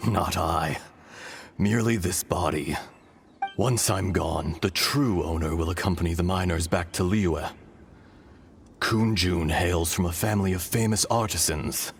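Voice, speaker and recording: An actor playing an ancient, weary dragon spirit inside a young man's body, speaking in a solemn, measured, hushed way, with game music behind. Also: low-pitched, creaky. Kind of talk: video game voice acting. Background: orchestral game music.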